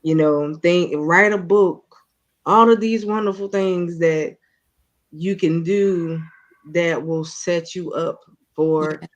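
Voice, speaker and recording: A woman co-hosting a faith-based radio talk, answering with lively exclamations and encouragement. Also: on the low side, takes it slowly, muffled recording. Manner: lively, exclaims and cheers the speaker on. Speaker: radio co-host. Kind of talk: call-and-response encouragement.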